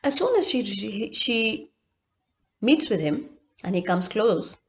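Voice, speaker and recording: A woman's voice: mid-range voice, very animated, takes it slowly. A woman storyteller narrating expressively and dramatically, in short emphatic phrases with repetition.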